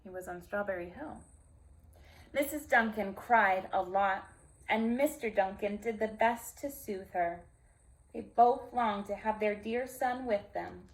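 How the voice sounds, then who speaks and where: A woman storyteller reading aloud to young children in a deliberate, emphatic way, with simple explanatory asides. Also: medium pitch, low-quality recording.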